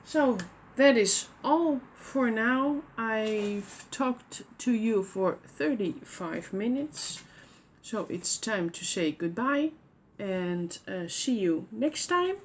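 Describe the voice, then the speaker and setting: A woman with a Dutch accent speaks English as a second language, talking very slowly and carefully. She fills her pauses with "uh" and "um" and restarts her sentences as she shows her knitting. Faint background noise sits under her voice. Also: medium pitch. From Netherlands. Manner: hesitant, many fillers and restarts. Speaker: knitting podcast host. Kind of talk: casual show-and-tell chat.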